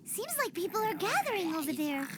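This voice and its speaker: A woman voicing a tiny, excitable childlike girl companion in an animated game style. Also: very high-pitched, a little fast. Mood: excited. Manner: childlike, excitable, speaks of herself in third person.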